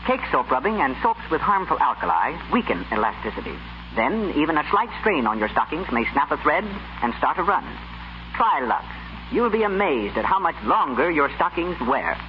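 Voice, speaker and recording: A man's voice: on the high side, big pitch swings, normal speed. A man delivering polished, scripted 1930s radio commercial announcements. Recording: muffled, faintly noisy 1930s radio recording.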